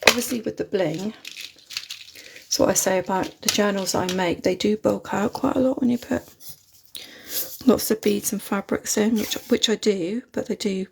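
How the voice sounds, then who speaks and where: A woman's voice: medium pitch, fairly even intonation, moderate pace, gravelly, a little hiss or hum. A woman with a British accent, not a London one, chatting casually and rambling as she hosts a live crafting stream at her desk. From Britain. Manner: chatty, rambling, chuckles often. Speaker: craft livestream host. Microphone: phone mounted overhead.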